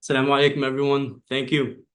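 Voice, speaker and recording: A man's voice: medium pitch, monotone, fast talker, a bit bright. A young man with an American accent giving an earnest, prepared endorsement speech. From United States. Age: young adult. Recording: roomy, echoing sound.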